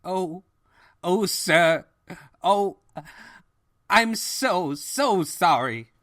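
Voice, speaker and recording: Woman acting as nervous loyal servant, low female voice, hesitant slow delivery, formal, deferential, audio drama. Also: expressive, a bit bright, no echo, clean studio sound, heavily acted. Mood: sorrowful, hesitant. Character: anxious, deferential loyal servant of evil king. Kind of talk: dramatized fan fiction audio reading.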